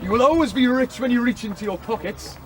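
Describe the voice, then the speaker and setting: A man voicing a dapper, smooth-talking devil with a wandering French accent, recorded outdoors with street noise. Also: extremely high pitch, quick pace, pitched above the performer's own voice. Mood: scheming. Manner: wheedling, bargaining, wavering French accent.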